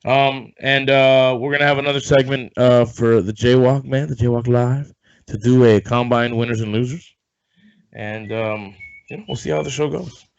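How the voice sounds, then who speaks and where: A man hosting a casual sports podcast, rambling and loose, swearing offhand, a bit hoarse as if coming down with something. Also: mid-range voice, fairly even intonation, normal speed. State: losing his voice, feeling sick. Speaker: podcast host. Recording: dull lo-fi sound.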